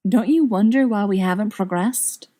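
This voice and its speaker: A woman's voice: medium pitch, normal speed, mellow, close to an everyday voice. A woman voicing a young male wizard extremist in an audiobook, earnest and persuasive with a bitter edge. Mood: indignant.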